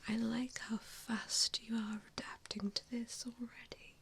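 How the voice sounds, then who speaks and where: Slow, whispering woman in ASMR roleplay. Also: on the high side, level tone, creaky. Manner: hesitant, reassuring, softly spoken. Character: gentle, self-doubting female vampire mentor to new recruits.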